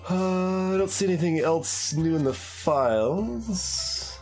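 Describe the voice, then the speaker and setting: A man's voice: high voice, animated, slow, darkish tone. A man giving casual live commentary as a game streamer, rambling and thinking aloud as he goes. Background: faint game music.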